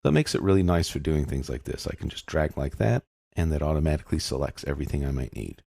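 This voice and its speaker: A man calmly narrating a screen-recorded software tutorial, explaining each step as he goes. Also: a bass voice, quick pace, creaky, no echo, studio quality. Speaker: software instructor.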